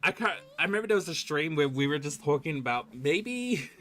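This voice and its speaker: A man livestreaming a game with casual reaction commentary, musing aloud in a halting way. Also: a little high, animated, bright, forceful tone. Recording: game music behind the voice.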